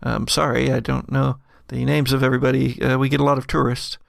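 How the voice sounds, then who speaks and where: A man narrates a tabletop roleplaying game as its game master. His mid-pitched voice is very creaky and gravelly. He tells it in a halting, conversational way, recorded close and dry. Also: level tone, dry recording.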